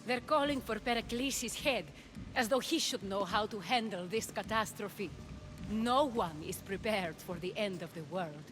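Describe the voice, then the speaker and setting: A woman's voice: mid-range voice, moderate pace, lightly acted. An actress playing a grave, commanding and anxious noblewoman in a dramatic video game cutscene. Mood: grave, despairing. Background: prominent music.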